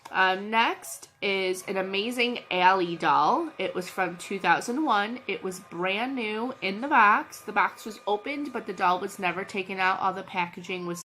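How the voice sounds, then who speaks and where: A woman's voice: mid-range voice, normal speed, few pauses, sharp tone, a little hiss or hum, rough recording. A woman with a cold chatting casually to her channel's viewers, scattered and full of ums and restarts. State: has a cold. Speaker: channel host, reseller. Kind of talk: casual vlog chat.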